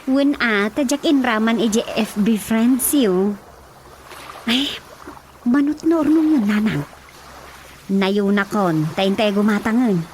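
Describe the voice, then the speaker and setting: Eager, high-voiced Ilocano daughter. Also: female voice, lively intonation, takes it slowly, pauses often, darkish tone, slight background noise. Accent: Filipino, Ilocano. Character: eager young daughter, helpful and pleading with parents. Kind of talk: Ilocano radio drama comedy acting. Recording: light music behind the voice.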